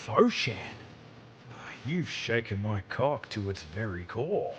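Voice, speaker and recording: Brash, lively spaceship captain. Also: male voice, lowish voice, slow, a little hiss or hum. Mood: crude, bold. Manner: brash, blokey, slangy. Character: crude, cocky, laid-back male spaceship captain. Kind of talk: video game character dialogue.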